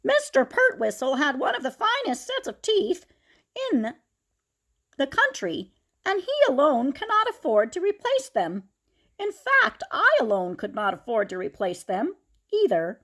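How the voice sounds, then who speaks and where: A woman's voice: high voice, wildly swooping pitch, unhurried, fairly bright tone, voice pushed up, full character voice. A woman voicing an impassioned pastor giving an emphatic speech to a town meeting in a children's storybook. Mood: impassioned, earnest. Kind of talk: children's storybook read-aloud.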